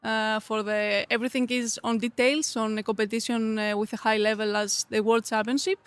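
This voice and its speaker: A woman's voice: a little high, little pitch movement, fairly quick, clear, resonant tone, dry recording. A woman from Greece with a Greek accent, a native Greek speaker answering interview questions casually and a little hesitantly in her second language. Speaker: interview guest, coffee competitor.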